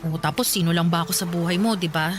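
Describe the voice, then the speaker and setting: A woman acting in a Filipino radio drama as a guarded, frank single woman in her thirties, speaking conversationally with expressive, hesitant pauses. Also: fairly low pitch, a little hiss or hum, natural voice. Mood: hesitant, firm.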